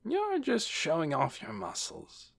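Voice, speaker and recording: A man voicing a brisk woman doctor and auntie in a storybook, dry and grumbling. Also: on the high side, wildly swooping pitch, vocal fry, dry recording, pitched above the performer's own voice, heavily acted.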